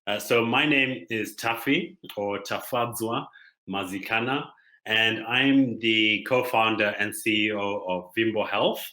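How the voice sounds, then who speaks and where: A man from Zimbabwe giving a conference talk as a startup founder, speaking haltingly with many pauses and fillers. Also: fairly low pitch, bright, forceful tone, echoey room. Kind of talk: conference presentation.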